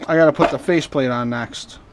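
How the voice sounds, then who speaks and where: A man's voice: medium pitch, a little fast, background noise. A man narrating a hands-on repair casually, muttering to himself in folksy, informal speech. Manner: talks to himself in muttered asides. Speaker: host of hobby repair and scrapping videos. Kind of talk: casual rambling workbench narration while working.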